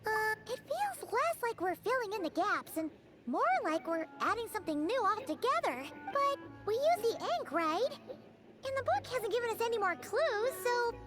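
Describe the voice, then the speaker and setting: A woman voicing a small, chatty, curious childlike fairy companion in a video game, with a squeaky voice. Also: sing-song, moderate pace, loud music behind the voice. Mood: chirpy, curious. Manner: refers to self in third person, chatty.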